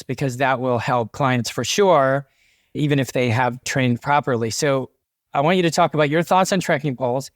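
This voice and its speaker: A man's voice: medium pitch, dry recording, clean studio sound. A man who hosts a hiking podcast and works as a coach, affirming his guest's answers and asking questions in a conversational way. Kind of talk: interview questions.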